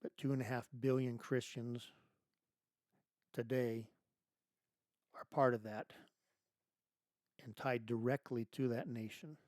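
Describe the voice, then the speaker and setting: A man hosting his own show, delivering an emphatic political monologue full of rhetorical questions and restarts, his voice going hoarse. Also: mid-range voice, steady tone, slow, long pauses, a little mellow, no room sound, studio quality. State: losing his voice. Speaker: podcast host.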